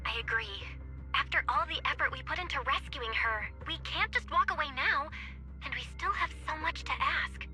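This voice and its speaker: Very bright, brisk young woman. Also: high-pitched, lively intonation, exaggerated voice. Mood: analytical. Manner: businesslike, analytical. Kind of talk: English video game voice acting. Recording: faint music behind.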